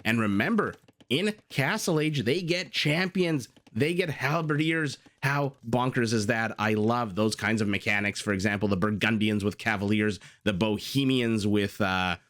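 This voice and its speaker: Male game caster, low-ish raspy voice, excitable and chatty with asides, close on a desktop condenser mic. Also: moderate pace. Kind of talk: strategy game match commentary. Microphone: desktop USB condenser mic.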